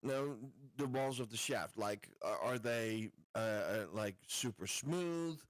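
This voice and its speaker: A man playing a tabletop game, chatting casually and putting his words together in a deliberate, stop-and-start way. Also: mid-range voice, no room sound.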